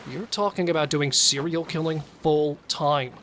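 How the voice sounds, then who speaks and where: A man playing a wary yet bloodthirsty serial killer in an audio drama, speaking with deliberate intensity. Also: a little high, fairly bright tone, a little hiss or hum, natural voice. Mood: wary, cautioning. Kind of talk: audio thriller acting.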